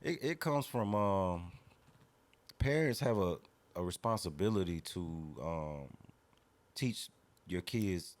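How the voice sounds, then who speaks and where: A man's voice: low-pitched, animated, slow pace, pauses often. A man in his thirties talking casually and earnestly as a podcast guest, opinionated and slangy. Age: 30s.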